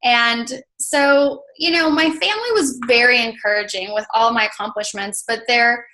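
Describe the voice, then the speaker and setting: A woman's voice: fairly high pitch, moderate pace, pushed and bright, poor audio. A woman from Mexico, a native Spanish speaker, talking casually as an interview guest and filling her speech with "like" and "you know". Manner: chatty, many fillers like "like" and "you know". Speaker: interview guest, coach mentor.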